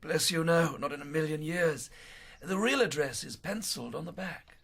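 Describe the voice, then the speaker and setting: A man's voice: a little high, moderate pace, vocal fry, a bit bright, slight background noise. An actor playing a fussy, chatty male theatrical clerk in a radio drama, chirpy and colloquial. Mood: amused.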